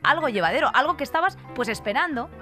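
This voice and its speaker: A woman's voice: high-pitched, expressive, fast, bright, forceful tone, soft background music. A young woman with a Spain accent chatting casually as a podcast co-host, close to a studio mic. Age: young adult. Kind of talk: casual chat. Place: small radio studio. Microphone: close boom-arm studio microphone.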